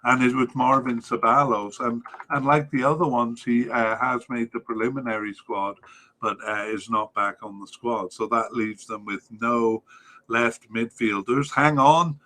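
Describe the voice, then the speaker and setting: A man going through a squad list on his soccer podcast, halting often with fillers and correcting himself as he goes. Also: mid-range voice, fairly even intonation, sharp tone. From Wales. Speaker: podcast host.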